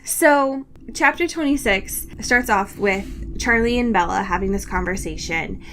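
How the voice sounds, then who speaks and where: A woman's voice: mid-range voice, a little slow, fairly bright tone, slight background noise. A woman co-hosting a podcast, casually recapping a book in a gushing, sweary way. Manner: gushing, sweary, often laughing. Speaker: podcast co-host.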